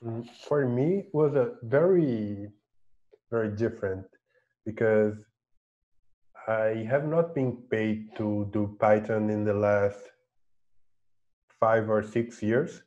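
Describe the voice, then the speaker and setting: A man's voice: fairly low pitch, slow pace, long pauses, mellow. A man, speaking thoughtfully and a little hesitantly as a panelist on a video call from a home room. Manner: thoughtful, hesitant, repeats words. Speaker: panelist, developer. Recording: muffled video-call audio.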